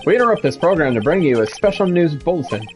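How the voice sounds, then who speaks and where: A man's voice: fairly high pitch, sing-song, fast, darkish tone, faint music behind. Man doing an earnest TV news announcer. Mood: brisk, serious. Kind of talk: scripted news bulletin parody.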